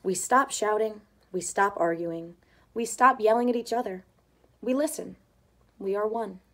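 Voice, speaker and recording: A woman reading a children's picture book aloud, voicing the characters' lines with lively expression. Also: mid-range voice, a little slow. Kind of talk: storybook read-aloud.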